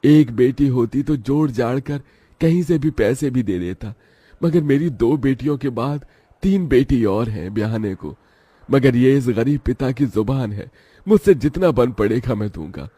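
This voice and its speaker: A man's voice: medium pitch, expressive, measured pace, mellow, breathy edge, dry recording, voice pushed up. A man voicing a poor, humble old village father in a cartoon story, earnest and pleading in manner. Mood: worried, resigned.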